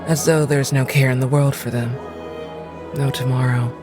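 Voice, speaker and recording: A woman playing a haughty, cynical noblewoman in an audio drama, with an arch, aristocratic and deliberate manner. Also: a bass voice, fairly even intonation, music in the background. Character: haughty, cynical, insistent noblewoman, lady of the house.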